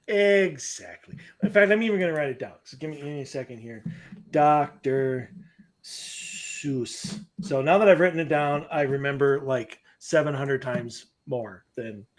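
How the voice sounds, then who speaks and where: Male co-host, higher pitch, playful and laughing, reads stories aloud, webcam audio from a home room. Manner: playful, quick to laugh, drifts into a sing-song voice. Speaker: podcast co-host. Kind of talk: casual chat, reading a listener story aloud. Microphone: webcam call from home.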